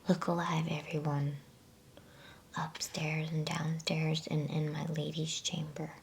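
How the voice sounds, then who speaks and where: A woman's voice: low voice, monotone, takes it slowly, creaky, slight background noise, exaggerated voice. A woman reading a storybook in a whisper, voicing a noble, joyous male lion who takes command.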